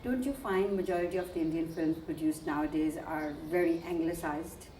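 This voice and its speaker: A woman conducts a probing interview in a low, mellow voice, hesitating and restarting her questions with small fillers. The sound is roomy and echoey, with a faint background hiss from an old archival tape. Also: brisk. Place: indoor room in a residential building. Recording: echoey room, archival video.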